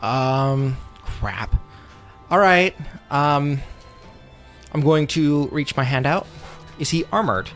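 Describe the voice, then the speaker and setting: A man's voice: a little high, slow, long pauses, fairly bright tone. A man playing a tabletop game, talking casually as he works through his moves. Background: music.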